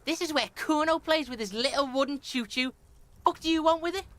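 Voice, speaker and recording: A woman's voice: high voice, fairly quick, fairly bright tone, no room sound. A woman voicing a cocky, foul-mouthed street boy in a video game, sneering and swaggering with hostile defiance. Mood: defiant. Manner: swaggering, sneering, speaks of self in third person. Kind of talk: video game character acting.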